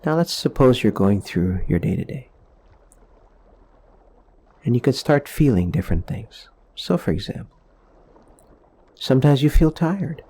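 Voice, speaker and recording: A man leading a guided meditation in a soothing, gentle, explanatory way, leaving pauses between phrases. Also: medium pitch, big pitch swings, slightly rough.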